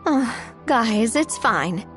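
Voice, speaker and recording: Game role: generous young woman, self-assured, conversational, high-pitched with animated intonation. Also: drawn-out words, faint music behind, full character voice. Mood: reassuring. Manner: conversational, reassuring. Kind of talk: video game voice acting.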